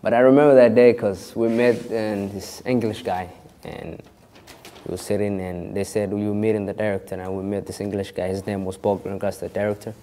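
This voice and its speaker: Young man with a mellow low voice. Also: level tone, moderate pace, long reverb tail, slight background noise. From born in Kenya of a Somali family. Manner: rambling, many restarts and "you know". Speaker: actor interviewed. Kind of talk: casual storytelling interview.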